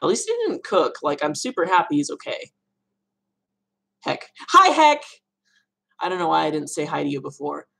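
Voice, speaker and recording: A young woman with a low voice, hosting a casual live Q&A stream from her room at home, chatty and rambling. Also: sing-song, normal speed, gravelly, long pauses, a bit bright, husky. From Arizona, USA. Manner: chatty, rambling, laughs often. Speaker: livestream host answering viewer questions.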